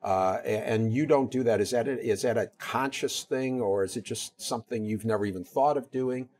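A middle-aged man hosting a TV interview show in a studio, speaking on a lapel mic with a lively manner and stumbling over fillers as he asks questions. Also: medium pitch, normal speed. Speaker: host. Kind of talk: TV public affairs interview.

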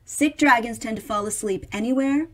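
A woman's voice: on the high side, moderate pace, slight background noise. A middle-aged woman reading a picture book aloud expressively, theatrically stretching words and adding chatty asides for young listeners. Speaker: children's storybook reader.

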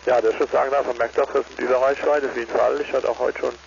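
A man's voice: medium pitch, steady tone, fast talker, gravelly. A man chatting casually as an amateur radio operator over a two-way transmission. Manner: tags statements with "ne?". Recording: dull, noisy ham radio transmission.

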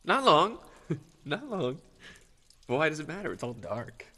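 A man's voice: a little high, sing-song, measured pace, pushed and bright, husky, heavily acted. An actor playing a creepy, eerie male guide who mutters gleefully in an audio drama. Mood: creepy, taunting. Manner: gleeful muttering, refers to himself by name.

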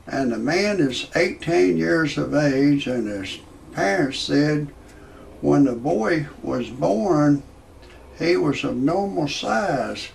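A man, telling a rambling parody comedy monologue in a halting way, full of "uh" fillers and restarts. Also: a little high, expressive, a little hiss or hum, rough recording. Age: 60s. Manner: slow, halting delivery with many "uh" fillers and restarts; gravelly voice. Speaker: comedy storyteller.

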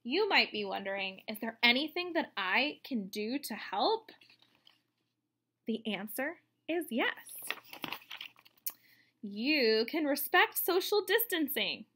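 A woman's voice: a little high, sing-song, takes it slowly, pauses often, pushed and bright, slight background noise. A woman in her thirties reading a children's book aloud, explaining simply and expressively. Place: indoors, room at home.

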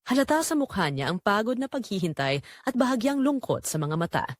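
A woman narrating a scripted first-person romance story in an expressive, sentimental storytelling manner. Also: medium pitch, a little fast, no room sound, clean studio sound.

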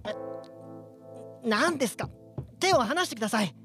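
A man's voice: very high-pitched, expressive, normal speed, a bit bright. A man playing an earnest, prim young worker ant who protests indignantly in a radio drama. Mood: huffy, prim. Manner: indignant, polite, dutiful. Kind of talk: live radio drama acting. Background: music.

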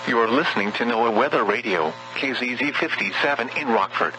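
A man's voice: mid-range voice, a little fast. A man reading a scripted station identification as a weather radio announcer. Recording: very noisy weather radio broadcast.

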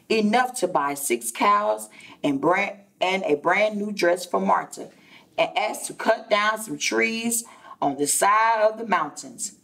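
A middle-aged woman, a preschool teacher, reading a story aloud to young children in a deliberate, explanatory way with repeated phrases and asides. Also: on the low side, fairly bright tone. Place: indoor classroom. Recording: distant microphone.

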